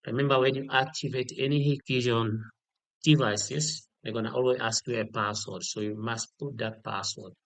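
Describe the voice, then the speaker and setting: A man giving an unscripted step-by-step software tutorial, repeating key details and often checking in with a short okay. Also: mid-range voice, steady tone, normal speed, no echo. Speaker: tutorial instructor.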